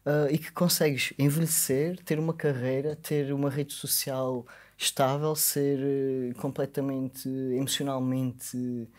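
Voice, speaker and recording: Casting: mid-pitched Portuguese guest, thoughtful and measured, quick to laugh, studio mic. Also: male voice, level tone, darkish tone. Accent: European Portuguese. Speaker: podcast guest. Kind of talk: casual podcast conversation. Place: small podcast studio with foam panels. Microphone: boom-arm studio mic.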